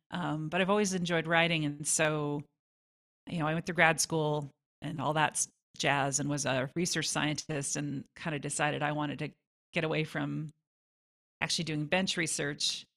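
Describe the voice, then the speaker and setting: Female interview guest, low creaky voice, informal and chatty delivery. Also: fairly even intonation, moderate pace, a bit bright. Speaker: guest songwriter. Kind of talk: casual interview answers.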